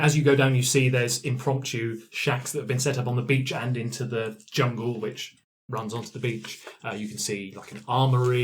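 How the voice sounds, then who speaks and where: A man running a tabletop roleplaying game as game master, describing scenes casually in fragments. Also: medium pitch. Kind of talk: improvised narration of a tabletop game.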